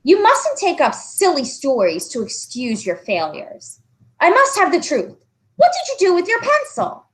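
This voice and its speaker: A woman's voice: very high voice, wildly swooping pitch, fairly bright tone, pitched above the performer's own voice, full character voice. Woman acting a firm, emphatic schoolteacher. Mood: stern, demanding. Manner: admonishing, deliberate, emphatic. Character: firm, skeptical female schoolteacher, strict but concerned.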